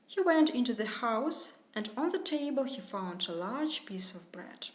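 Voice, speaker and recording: Slow, lilting woman reading a fairy tale. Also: on the high side, big pitch swings. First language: Russian. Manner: slow, clear storybook reading for learners. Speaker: English tutor. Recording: roomy echo.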